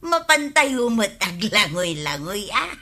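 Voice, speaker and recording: A woman's voice: mid-range voice, very animated, slow, bright, forceful tone, full character voice. A woman playing a cheerful, eager friend in an Ilocano radio comedy drama.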